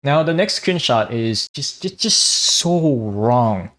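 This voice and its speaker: A man's voice: mid-range voice, lively intonation, slow, fairly bright tone. A young man from Vietnam, a native Vietnamese speaker, giving blunt, casual commentary on online drama, swearing freely and often restarting his sentences. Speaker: gaming commentary YouTuber.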